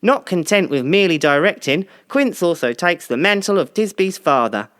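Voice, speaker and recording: A man playing a grandiose newspaper theatre previewer, reading a scripted piece in a theatrical, deliberate way. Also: sits high, lively intonation, a bit bright, no room sound, studio quality. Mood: grand, relishing.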